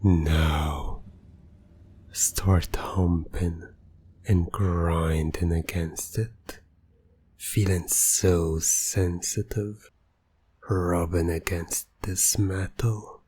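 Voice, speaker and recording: A man playing a teasing, domineering hypnotist in an ASMR roleplay, breathy and often near a whisper, with a deliberate, commanding manner. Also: sits low, fairly even intonation, a little mellow, slight background noise. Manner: slow, deliberate, commanding, taunting. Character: teasing, domineering male hypnotist, smug and in control. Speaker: roleplay audio performer.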